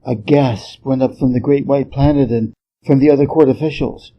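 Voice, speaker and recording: A man's voice: medium pitch, steady tone, normal speed, slight background noise. A man reading scripted storybook narration, on a slightly muffled recording. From Hawaii, United States. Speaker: story reader.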